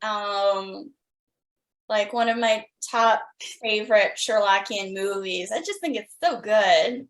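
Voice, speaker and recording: A woman's voice: mid-range voice, slow pace. A woman hosting a podcast, chatting casually with her guests like an enthusiastic fan. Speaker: podcast host, interviewer. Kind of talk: casual chat.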